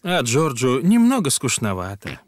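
A man's voice: medium pitch, wildly swooping pitch, moderate pace, no echo, studio quality. A man giving friendly scripted storytelling narration for a children's cartoon. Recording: music behind the voice.

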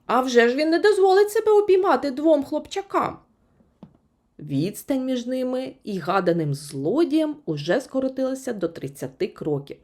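A woman reading a children's story as an expressive audiobook narrator. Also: sits high, normal speed.

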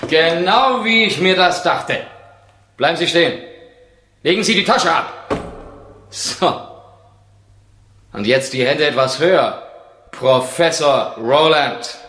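An actor playing an eager, self-assured police sergeant in a 1970s radio crime play, speaking precisely, as if explaining. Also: male voice, fairly high pitch, takes it slowly, long pauses, heavy background noise. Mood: commanding.

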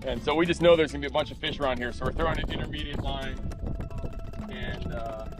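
A man in his thirties, outdoors on a boat, casually explaining his fly fishing into an action camera near his face, chatty with fillers and restarts and bursting into excited exclamations. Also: medium pitch, fast. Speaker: fly fishing angler and host. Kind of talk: casual on-the-water vlog narration. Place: outdoors on a boat in open water. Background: music.

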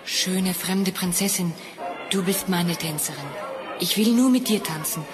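Young prince for a fairy-tale record: courtly, declaimed, adoring, music underneath. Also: male voice, high-pitched, measured pace. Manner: formal, declaimed. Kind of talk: German fairy-tale radio play acting. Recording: vinyl record transfer, faint music.

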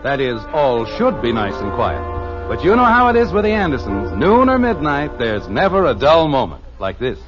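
A man's voice: mid-range voice, wildly swooping pitch, moderate pace. An American man announcing a 1950s radio show, reading scripted narration and sponsor copy. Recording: muffled vintage radio broadcast with loud music.

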